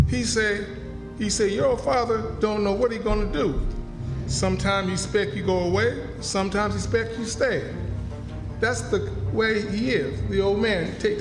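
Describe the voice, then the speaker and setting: Guy playing superstitious fortune teller, high pitched folksy voice, kinda chanting, old radio play, echoey hall. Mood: mysterious. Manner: incantatory, repetitive, folksy dialect. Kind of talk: live old-time radio drama acting. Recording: echoey hall, live stage performance. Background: music under the voice.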